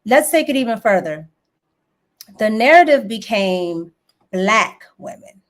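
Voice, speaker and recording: A woman's voice: on the high side, expressive, measured pace. A woman from the United States hosting an interview, asking thoughtful, probing questions and tagging them with "right?". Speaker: host.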